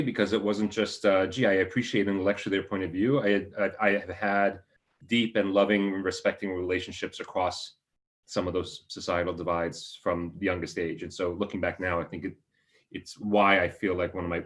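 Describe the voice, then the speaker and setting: A man from the United States, a panelist introducing himself in a discussion, speaking in a measured, hesitant way with many "uh"s. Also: sits low.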